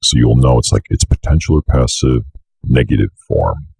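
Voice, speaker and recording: Very deep, gravelly young man. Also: normal speed, dark tone, no room sound. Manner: informal, offhand, swears casually. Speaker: tutorial host. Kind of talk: casual screencast tutorial. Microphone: close desk microphone on an arm.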